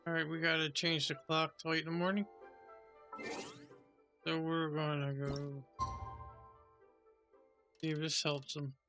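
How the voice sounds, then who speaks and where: A man's voice: on the high side, little pitch movement, unhurried, long pauses. A man giving casual live commentary as a game streamer, chatting informally with viewers. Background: game music.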